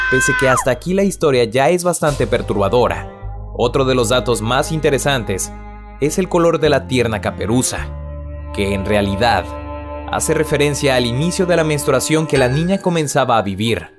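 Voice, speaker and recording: Male narrator, mid-pitched, very animated intonation, scripted storytelling over prominent music. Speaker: video narrator. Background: background music.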